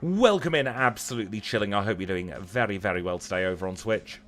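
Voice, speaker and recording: A man's voice: lowish voice, fast, a bit bright, soft background music. A man with a Lancashire accent chatting casually as he streams a video game, wry and self-deprecating. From Lancashire, northern England. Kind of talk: casual livestream chat over gameplay.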